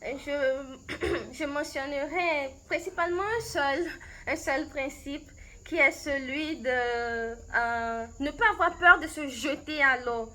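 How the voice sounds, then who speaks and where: Slow, thoughtful Beninese French woman. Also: high voice, sharp tone. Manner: thoughtful, unhurried, with frequent hesitations and restarts. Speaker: language coach. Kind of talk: answering interview questions to camera.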